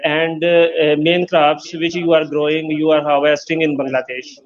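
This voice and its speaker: A man hosting an interview, speaking formally and haltingly with many fillers. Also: a little high, level tone, highs cut off. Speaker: host.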